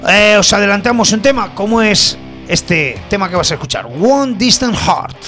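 A man's voice: high-pitched, expressive, moderate pace, pushed and bright. A man with a Spanish accent from Spain hosting a music show, chatty, colloquial and jokey, bantering casually as he presents. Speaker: music radio show host. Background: guitar music.

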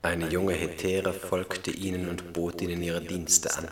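Flat, deadpan low-voiced male narrator. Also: unhurried. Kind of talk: deadpan literary radio-play narration.

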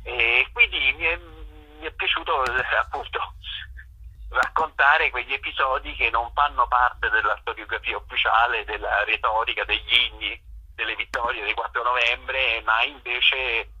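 Sharp, husky man on a dull phone. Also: mid-range voice, steady tone, normal speed. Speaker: theatre writer-performer being interviewed. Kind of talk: explanatory interview talk. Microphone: telephone call to radio. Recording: dull, band-limited phone line.

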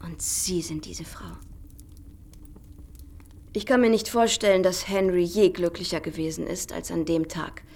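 A woman's voice: lowish voice, steady tone, unhurried, long pauses, a little mellow. An actress playing a worldly young society woman in a German radio drama, speaking in an intimate, teasing, half-whispered way. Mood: earnest, coaxing. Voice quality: breathy, often half-whispered.

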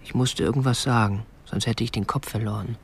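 A man's voice: fairly low pitch, steady tone, brisk, creaky, slight background noise. Stiffly polite, obsessive young man. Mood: stiff, confessional. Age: young adult. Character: meek, stiff, obsessive young male kidnapper, respectable petty bourgeois. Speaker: actor and first-person narrator in a radio play. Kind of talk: radio drama narration and dialogue.

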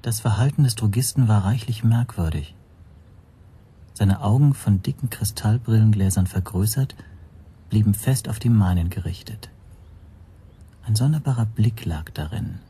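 Low, mellow, anxious male narrator. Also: husky. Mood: reflective. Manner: measured, introspective storytelling. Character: anxious foreign male language teacher, recalling his ordeal. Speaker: first-person narrator. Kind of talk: literary radio play narration.

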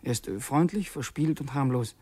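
An actor playing a brooding secretary who is secretly a revolutionary assassin, speaking haltingly and reflectively in a German radio drama, at times near a whisper. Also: male voice, medium pitch, a little mellow, slightly rough, dry recording, close to an everyday voice. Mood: musing, narrating.

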